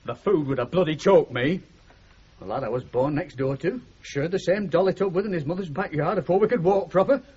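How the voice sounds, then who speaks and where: An actor playing a blunt, sentimental middle-aged working-class husband with a Northern English accent in a radio comedy drama. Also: male voice, on the high side, fairly quick, a little mellow, breathy edge. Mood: indignant. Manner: blunt, emphatic, plain-spoken. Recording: muffled 1970s radio drama recording, noisy.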